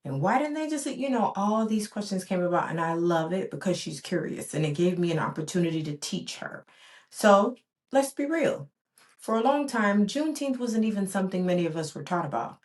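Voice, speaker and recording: A woman's voice: medium pitch, big pitch swings, fairly quick, darkish tone. A woman in her forties, born in Barbados and raised in the US, hosting a reflective solo podcast in an earnest, rhythmic way with repeated emphatic phrases. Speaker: podcast host.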